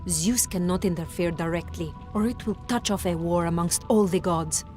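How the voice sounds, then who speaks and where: A woman voicing a solemn, wise goddess in a video game, speaking gravely as a guide. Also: lowish voice, moderate pace, a little mellow, faint music behind, natural voice. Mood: warning. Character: stately goddess guiding a hero, solemn and wise. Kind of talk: video game cutscene acting.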